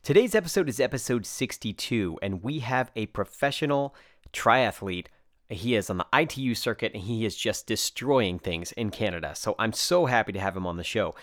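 A man's voice: on the low side, animated, moderate pace, no room sound, clean studio sound. An American man hosting a podcast, chatty and enthusiastic, with fillers and digressions. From United States. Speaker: podcast host. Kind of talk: casual podcast chat.

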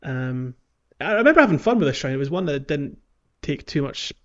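A man's voice: on the high side, big pitch swings, brisk, fairly bright tone. A man hosting a casual video game playalong podcast, explaining things in a tentative, hesitant way. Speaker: podcast host. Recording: slightly dull audio, music bed. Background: background music.

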